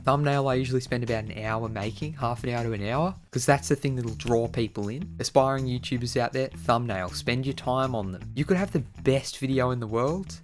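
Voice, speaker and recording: A man talking casually to his viewers as an online video creator answering their questions. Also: mid-range voice. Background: music.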